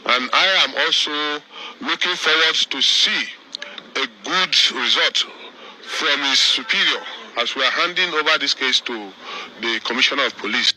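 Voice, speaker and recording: A man speaking as the victim's uncle, giving a halting statement to reporters with many restarts. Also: mid-range voice, bright, forceful tone, a little hiss or hum, low-quality recording.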